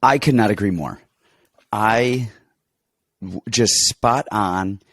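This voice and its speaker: Congested low-voiced man talking fights. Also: slow pace, no echo, studio quality. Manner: rambling, animated storytelling with many restarts. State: congested, getting over an illness. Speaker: podcast co-host and fight coach. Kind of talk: casual sports betting chat.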